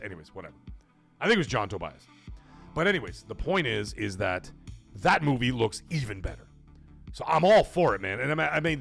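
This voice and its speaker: A man hosting a casual gaming live stream, rambling excitedly with fillers and restarts, greeting chat viewers and swearing casually. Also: mid-range voice, vocal fry. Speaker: livestream podcast host.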